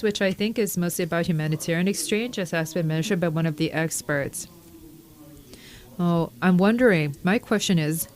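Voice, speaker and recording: A woman interpreting a long question at a forum. Her voice is on the low side for a woman, and she moves through it in measured phrases, pausing between them. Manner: measured, with pauses and fillers. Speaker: simultaneous interpreter. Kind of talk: simultaneous interpretation of a caller's question.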